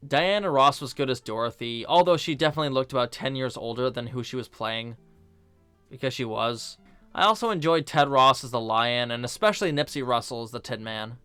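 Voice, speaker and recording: Man, mid-range voice, video essay narration, conversational, wry deadpan asides, light music underneath. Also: mid-range voice, brisk, clean tone, no room sound. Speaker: film review video host.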